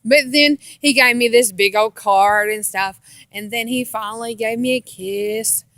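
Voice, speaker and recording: A woman's voice: fairly high pitch, unhurried, fairly bright tone, no echo. An American woman telling a casual personal story in a rambling, repetitive way with folksy grammar. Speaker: storytelling vlogger.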